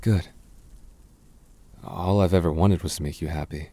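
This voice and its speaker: A man playing a devoted young fantasy prince in a romantic roleplay, speaking tenderly and haltingly with gentle teasing. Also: deep voice, fairly even intonation. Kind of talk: romantic boyfriend roleplay ASMR.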